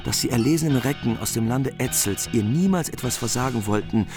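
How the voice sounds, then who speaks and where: A man narrating a medieval epic in plain, measured prose. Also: mid-range voice. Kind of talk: scripted narration. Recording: music behind.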